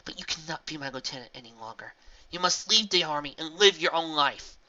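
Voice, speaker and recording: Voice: mid-range voice, expressive, brisk, voice pushed up. Regal pony princess, grave and sorry, storybook voice, a bit lo-fi, as a reader puts her on. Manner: grave, pleading, sometimes hushed.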